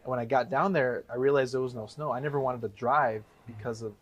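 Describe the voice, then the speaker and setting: Casting: man in his thirties from the Chicago area, quick rambling storyteller, mid-pitched. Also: fairly even intonation, lo-fi sound. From Chicago area, USA. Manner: rambling, self-correcting storyteller. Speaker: guest, real estate investor. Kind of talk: casual interview talk.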